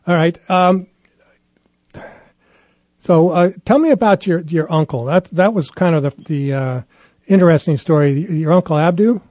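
A man's voice: on the high side, moderate pace, long pauses, darkish tone, dry recording. A man hosting a radio interview, talking conversationally with small restarts. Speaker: radio host. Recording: muffled lo-fi broadcast audio.

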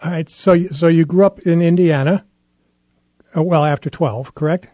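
Mellow-voiced male radio host. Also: a little high, steady tone, normal speed, no room sound. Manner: conversational, with small restarts. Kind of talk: radio interview. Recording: muffled lo-fi broadcast audio.

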